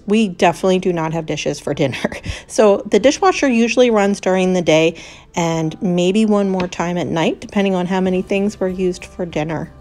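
Woman, 30s, homemaking vlogger, casual voice-over, light laughs, faint music bed. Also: medium pitch. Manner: chatty, relaxed, with small laughs. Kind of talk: voice-over narration of a cleaning vlog.

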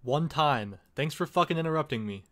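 A man's voice: a little high, animated, brisk, slight background noise. A man doing a sarcastic, foul-mouthed comedic dub of a video game. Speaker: parody dubber voicing the game's protagonist. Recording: loud music behind the voice. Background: game music.

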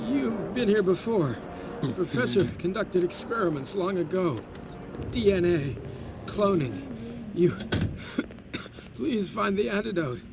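An actor playing a drugged, frightened man held in an asylum in a game, halting, groaning and pleading. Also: high-pitched, background noise, exaggerated voice. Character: drugged, frightened, suffering male captive in asylum. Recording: game audio with music behind.

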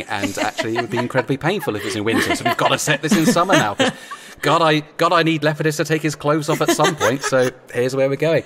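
A man in casual, chatty discussion, telling things in an animated, digressive way. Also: mid-range voice, slight background noise. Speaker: audio drama writer.

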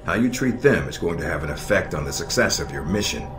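An actor playing a stern, middle-aged American intelligence operations chief in a video game, measured and dryly sardonic. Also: male voice, low-pitched, expressive, noisy background. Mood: instructive, firm. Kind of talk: video game cutscene dialogue. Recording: echoey, music underneath.

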